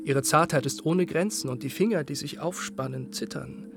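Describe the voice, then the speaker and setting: A man's voice: on the high side, normal speed, music in the background, lightly acted. An actor playing an effusive, lovestruck man in a literary radio drama, declaiming rapturously. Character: rapturous lovestruck male acquaintance, effusive and theatrical.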